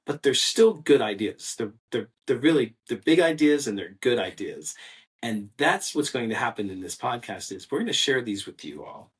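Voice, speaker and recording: Co-host: an eager man who tumbles over his words, middle pitch, somewhat distant from the mic. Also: sing-song, moderate pace. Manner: enthusiastic, stumbling restarts. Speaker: podcast co-host. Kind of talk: casual podcast chat.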